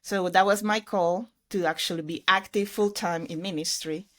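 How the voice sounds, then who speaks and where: Woman, middle-aged, English speaker, slightly low voice, earnest, reflective, conversational. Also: steady tone, moderate pace, a bit bright. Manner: earnest, reflective storytelling with fillers. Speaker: podcast guest, ministry leader. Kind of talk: conversational interview.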